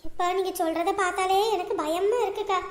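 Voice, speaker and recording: A woman's voice: very high voice, steady tone, a little fast. A woman playing an anxious, sympathetic village neighbour in a family drama, pleading and consoling. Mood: shocked concern. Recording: echoey room sound.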